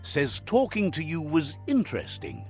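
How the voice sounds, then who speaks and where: An actor playing a wry, fond storybook narrator in a game, with a measured storyteller's delivery. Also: male voice, on the high side, wildly swooping pitch, a little mellow, soft background music. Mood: wry, friendly. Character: male storybook narrator relaying creatures' words, wry and fond.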